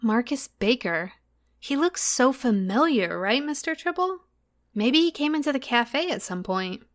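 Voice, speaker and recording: A friendly young woman in an audio drama, with a slightly high voice. She talks casually and chattily, like someone at home with only her cat for company. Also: expressive, unhurried. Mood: casual, musing. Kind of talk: conversational audio drama acting.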